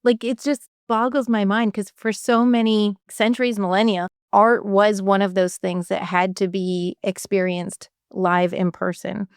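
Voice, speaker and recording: Chatty woman podcast host, smooth voice. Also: mid-range voice, level tone, measured pace, hardly any pauses, no echo, studio quality. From Canada. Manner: enthusiastic, talkative, laughs easily. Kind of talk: casual interview chat.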